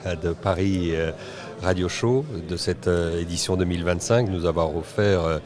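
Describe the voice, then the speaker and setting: A man hosting a roundtable podcast, speaking haltingly with pauses mid-sentence. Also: deep voice, steady tone. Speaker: podcast host. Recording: busy crowd chatter behind. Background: crowd chatter.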